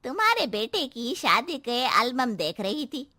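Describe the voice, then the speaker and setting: Woman voicing a gossiping cartoon auntie, bright high voice, slow and drawn out. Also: big pitch swings, no echo, studio quality, exaggerated voice. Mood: gossipy. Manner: gossipy, mocking. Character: gossipy nosy neighbour auntie.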